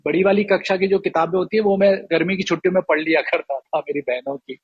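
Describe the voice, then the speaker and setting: A man with a somewhat high, husky voice chats as an interview guest. He is halting and thoughtful, and the sound is dry and close. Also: steady tone, dry recording, highs cut off. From Rajasthan, India. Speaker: guest writer. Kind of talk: casual interview chat.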